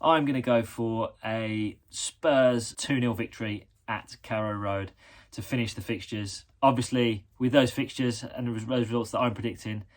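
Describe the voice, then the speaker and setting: A man in his thirties from England, a guest giving football predictions in a measured, slightly hesitant way. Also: on the low side.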